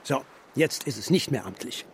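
An actor playing a stubborn, grumpy old steppe shepherd and grandfather in a children's radio drama, gruff and terse, with a husky voice. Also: mid-range voice, lively intonation, normal speed, very noisy, close to an everyday voice. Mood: gruff, defiant.

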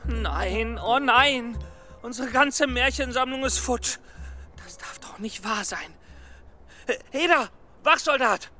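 High-voiced, flustered fairy-tale collector. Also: male voice, expressive, bright, forceful tone, exaggerated voice. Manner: flustered, halting, coaxing. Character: excitable, stammering male storyteller and fairy-tale collector. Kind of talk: children's radio play acting. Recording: music under the voice.

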